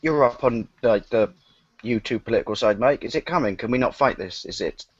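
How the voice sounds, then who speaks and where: Fast-talking joking man on a call. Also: mid-range voice, husky, dry recording. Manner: joking, rapid questions. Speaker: stream panelist. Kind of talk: casual group chat. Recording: dull dry lo-fi call audio.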